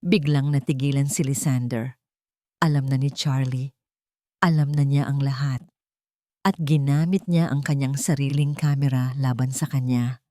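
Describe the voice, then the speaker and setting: A woman's voice: rumbling low, fairly even intonation, normal speed, warm tone, no room sound, studio quality. A woman reading a scripted story as a dramatic audiobook narrator.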